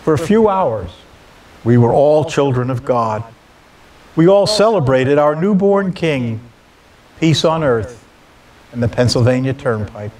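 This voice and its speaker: A man's voice: medium pitch, big pitch swings, a little slow, pauses often, a little mellow. A man reading a scripted personal holiday story as a storyteller. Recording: distant mic with hiss.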